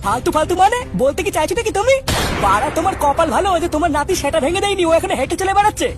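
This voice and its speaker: A man's voice: very high voice, animated, very fast, music in the background. A man voicing a hot-tempered cartoon club boss, bullying, cursing and threatening. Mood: indignant.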